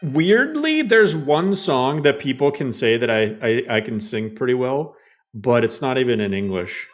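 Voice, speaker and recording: Rambling, animated gamer man. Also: mid-range voice, measured pace. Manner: rambling, joking, self-deprecating. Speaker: game streamer. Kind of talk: casual gameplay commentary.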